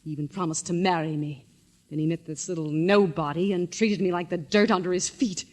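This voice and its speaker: A woman's voice: on the low side, steady tone, fairly quick, lightly acted. An actress playing a haughty, bitter scorned woman in a 1940s radio drama, with a curt manner.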